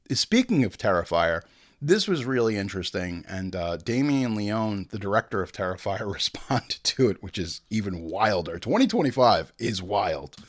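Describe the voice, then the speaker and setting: A man in his forties hosting a podcast, chatting casually in slangy, animated stories and acting out quotes. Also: lowish voice. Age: 40s. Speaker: podcast host. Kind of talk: casual solo podcast talk.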